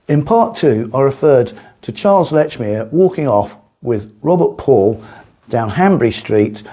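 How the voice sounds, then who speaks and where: A man narrates a true-crime history piece in a gravelly, creaky voice roughened by a slight cold. His tone is dark and soft-edged, with a husky grain. He explains things in a measured way, stepping aside now and then for a small remark. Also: medium pitch. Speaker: presenter of a history video series.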